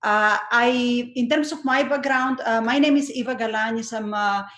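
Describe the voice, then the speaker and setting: A woman, introducing herself on a panel in a measured way, with frequent hesitations, over a video call. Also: on the high side, fairly bright tone, roomy sound. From Greece. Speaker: oncology professor and panelist.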